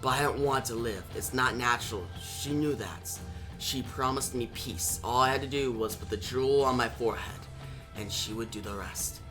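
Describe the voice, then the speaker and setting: A man's voice: medium pitch, moderate pace, music in the background, close to an everyday voice. A man playing a weary immortal who longs for death, subdued and anguished, in a comic book story.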